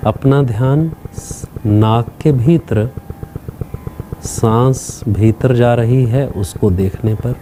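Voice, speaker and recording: A man leading a guided meditation as a teacher, speaking calmly in short instructional phrases with long pauses. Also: mid-range voice, slight background noise.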